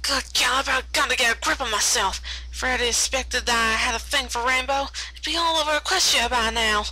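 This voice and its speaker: A woman's voice: high voice, fairly quick, pushed and bright, pitched above the performer's own voice, rough recording. A woman voicing a flustered, folksy female farm pony, with stammering country phrasing, in a story reading. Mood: anxious muttering.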